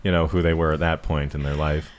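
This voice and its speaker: A man's voice: extremely low pitch, little pitch movement, fast talker. A man co-hosting a podcast, chatting casually about books in a rambling, joking way. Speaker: podcast co-host. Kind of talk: casual book chat with a friend.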